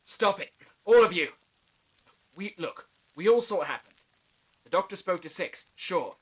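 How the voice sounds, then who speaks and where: A woman's voice: on the low side, lively intonation, unhurried, breathy edge, low-quality recording. Woman playing blunt, exasperated peacemaker.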